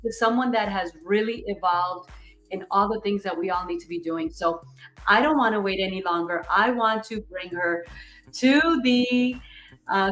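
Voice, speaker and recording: A woman's voice: fairly high pitch, a bit bright. Effusive woman host, echoey room. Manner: effusive, upbeat, talks in rhetorical questions. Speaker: podcast host. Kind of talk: enthusiastic livestream interview.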